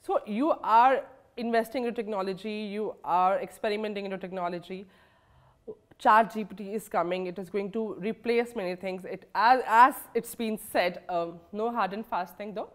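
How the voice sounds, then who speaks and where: A woman's voice: mid-range voice, moderate pace. A woman in her thirties with an Indian accent, asking questions in a conversational interview. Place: indoor office lounge set.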